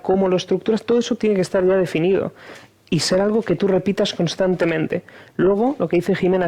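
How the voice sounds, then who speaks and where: A man's voice: high voice, mellow. A man on an online panel, explaining with confident, rhythmic lists of points. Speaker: oratory speaker on a panel. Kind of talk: panel discussion. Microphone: video call.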